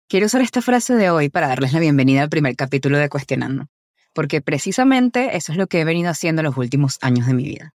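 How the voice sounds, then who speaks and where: A woman in her twenties with a Venezuelan accent, hosting a podcast in a scripted, personal narration with articulate, deliberate phrasing. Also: sits low, darkish tone, no echo, clean studio sound. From Caracas, Venezuela. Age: 20s. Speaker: podcast host.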